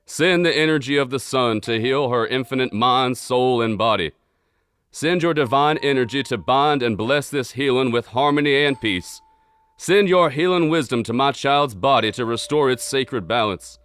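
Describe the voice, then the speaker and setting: Man doing a caring Southern father, somber baritone, syrupy twang, smooth resonant tone. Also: moderate pace, a bit bright, natural voice. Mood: prayerful. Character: tall brooding father, gracious and caring. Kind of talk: audio drama acting.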